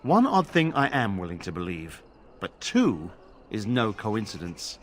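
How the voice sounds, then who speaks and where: An actor playing a grave, concerned official investigator in a fantasy game, measured and pondering. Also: male voice, lowish voice, sing-song, slight background noise. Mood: serious, pondering.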